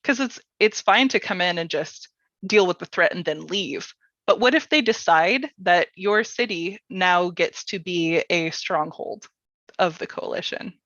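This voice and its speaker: A woman's voice: fairly low pitch, a little slow, a bit bright, no echo, highs cut off. A woman hosting a casual livestream workshop, speaking informally and hesitantly with plenty of filler "like"s. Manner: informal, hesitant phrasing with many "like"s. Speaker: host of a publisher's livestream workshop. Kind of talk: casual workshop chat, reading viewer questions.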